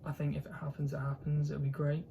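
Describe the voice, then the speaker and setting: A man's voice: fairly high pitch, completely flat delivery, fast, creaky, mellow, a little hiss or hum. A young man with a posh southern English accent hosting his own football channel, talking casually and hesitantly with lots of fillers and restarts. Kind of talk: casual solo commentary.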